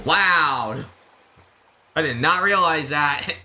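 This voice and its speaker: A man with a slightly high voice talks over clear game music on a casual stream. He rambles informally to his viewers and breaks into exclamations as he plays. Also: animated, slow pace.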